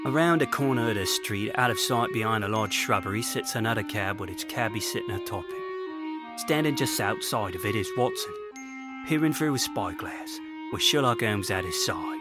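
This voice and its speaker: A man narrates an audio drama in a lowish voice. His storytelling is florid and theatrical, set against loud music. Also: animated, moderate pace.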